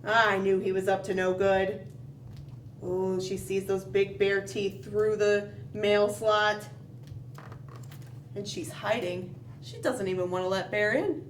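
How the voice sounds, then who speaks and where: Lady doing kids storytime, takes her time, expressive read-aloud w little questions, kinda echoey room. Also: mid-range voice. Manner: expressive, dramatic pauses, questioning asides. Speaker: children's library storytime host. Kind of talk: animated picture-book read-aloud with asides to young children. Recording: roomy, distant mic, faint background noise.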